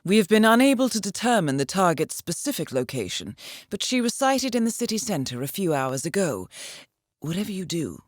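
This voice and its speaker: An actress playing a composed, dryly witty intelligence handler who briefs an assassin in a measured, formal way for a video game. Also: female voice, lowish voice, big pitch swings, no echo, clean studio sound. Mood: composed briefing. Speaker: mission handler briefing an agent. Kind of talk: scripted video game voice acting, mission briefing.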